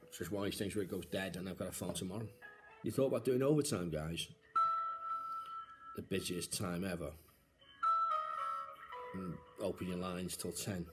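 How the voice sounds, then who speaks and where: A man with a fairly low-pitched voice talks in an off-the-cuff, matey way. He is hesitant, groping for words, and vents with casual swearing, as if chatting to mates. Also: moderate pace, pauses often, over music. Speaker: comedian filming himself on a helpline call. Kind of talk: casual, sweary venting to viewers.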